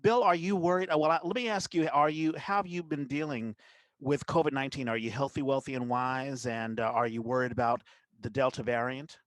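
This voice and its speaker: A man hosting a podcast, chatting casually about the news in a conversational, somewhat halting way. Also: medium pitch, steady tone, no echo. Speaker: podcast host.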